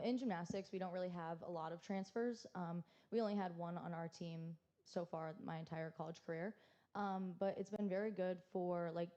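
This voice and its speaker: A young woman college athlete on a panel. Her voice is mellow and fairly low, with little rise and fall, and she pads her answers with likes and ums. The audio sounds a little dull. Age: young adult. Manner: relaxed, with frequent likes and ums.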